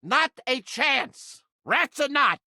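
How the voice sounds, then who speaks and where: A man voicing a surly, crusty little mouse trap in a video game, with a strident cartoonish voice and brash, slangy, soft-hearted bluster. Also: very high voice, animated, slow pace, dry recording, studio quality, full character voice. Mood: teasing. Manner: brash, yelling, streetwise slangy wisecracking. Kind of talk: video game character acting.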